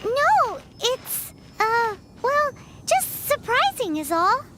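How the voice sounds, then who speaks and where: A woman voicing a tiny, excitable floating fairy girl with a squeaky childlike voice in an anime game dub. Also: slow pace, slight background noise, heavily acted. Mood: puzzled, surprised. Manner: refers to self in third person, exclamatory.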